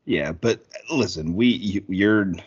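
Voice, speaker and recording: Deep-voiced man rambling casually. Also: slow pace, husky, lo-fi sound. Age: 30s. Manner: rambling, profane, lots of fillers. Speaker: podcast co-host. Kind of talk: casual podcast chat.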